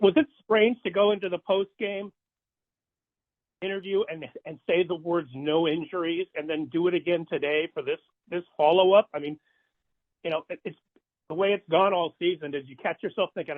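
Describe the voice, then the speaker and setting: A man, a sports reporter, asking long, halting questions in a conversational way over a phone conference line. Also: on the high side, fairly even intonation. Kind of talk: press questions. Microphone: phone conference call. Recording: tinny, band-limited phone line.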